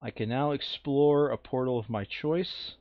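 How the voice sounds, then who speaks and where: A man's voice: mid-range voice, a little slow, dry recording. A man playing a board game, talking through his moves and reading cards aloud in a casual, muttering way. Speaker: player in a tabletop board game playthrough. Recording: dull lo-fi close mic.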